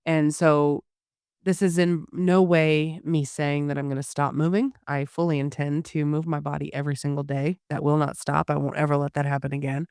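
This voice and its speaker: A hoarse woman giving a candid personal health update to her listeners, with frequent pauses and restarts. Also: low-pitched, level tone, darkish tone, dry recording, clean studio sound. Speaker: podcast host.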